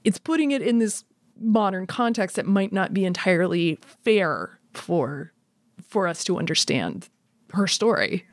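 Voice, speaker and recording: A woman in a casual, analytical discussion, thoughtful and measured, rephrasing as she goes. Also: on the low side, sing-song, no room sound, clean studio sound. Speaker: podcast discussion participant.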